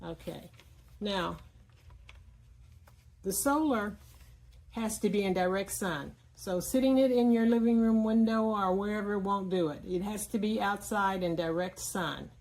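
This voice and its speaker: Female product reviewer, medium pitch, plainspoken and explanatory, casual hands-on demo delivery with frequent mid-sentence pauses. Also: a little mellow.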